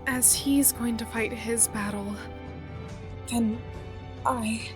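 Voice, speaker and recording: A man voicing a young woman in a fan audio drama, speaking in halting, hesitant fragments. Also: very high-pitched, fairly even intonation, over music, pitched above the performer's own voice. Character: young woman, hotel cleaner, torn and hesitant.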